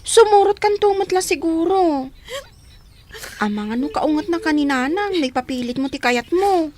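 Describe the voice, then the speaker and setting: A woman's voice: high-pitched, expressive, moderate pace, a little mellow, slight background noise. An actress playing an insistent young girl, a sister, in a radio drama. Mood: insistent, teasing. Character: insistent young girl, the other girl's sibling.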